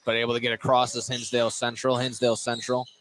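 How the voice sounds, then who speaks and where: A male radio sportscaster with a mid-range voice calls a basketball game live. He doubles back on phrases while tracking the play. Clear gym noise and ball bounces sit behind him. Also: medium pitch, monotone, fast. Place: indoor gymnasium during a basketball game. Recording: noisy live gym broadcast. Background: loud gym ambience, bouncing basketball.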